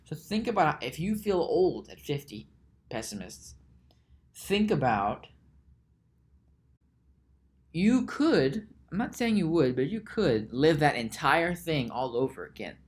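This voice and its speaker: A man talks through his thoughts on a solo podcast at an unhurried, deliberate pace. He circles back and restarts his sentences often, repeating words as he works out each point. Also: very animated. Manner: musing, slow and halting, with frequent restarts and repeated words; reads search results aloud in a low mutter. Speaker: podcast host.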